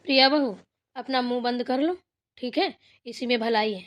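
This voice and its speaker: Woman playing a high-voiced scolding village mother-in-law. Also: moderate pace, a bit bright, natural voice. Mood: stern, threatening. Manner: scolding, commanding, blunt. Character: stern, threatening village mother-in-law, bossy older woman. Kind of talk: cartoon family drama dialogue.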